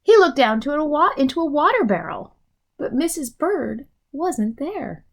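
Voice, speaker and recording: A woman reads to young children as a teacher would, expressive and explanatory. Her delivery is slow, her pitch moves in big swings, and her voice often drops into a creaky fry. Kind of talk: children's storybook read-aloud.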